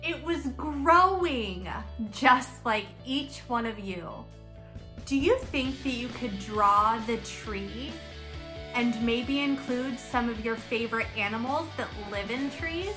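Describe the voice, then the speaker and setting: Young woman, higher-pitched voice, unhurried, expressive story-time teacher, child-directed, echoey room. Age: young adult. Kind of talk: picture book read-aloud and discussion for young children. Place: room at home, indoors. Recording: roomy echo, music underneath.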